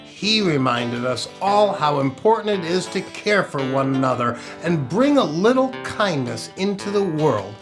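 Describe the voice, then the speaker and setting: A man hosting a children's storytime show in a playful, theatrical, exaggerated way, with sing-song exclamations and pauses. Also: fairly high pitch. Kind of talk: playful children's show presenting with dad jokes.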